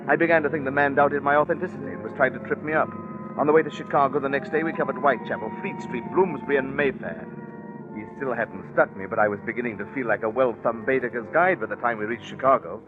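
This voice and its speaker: A man's voice: mid-range voice, fast talker, lightly acted. A man playing a scheming gambler who narrates his own crime in a wry, confiding way, in 1940s radio suspense style. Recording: muffled 1940s radio broadcast with music.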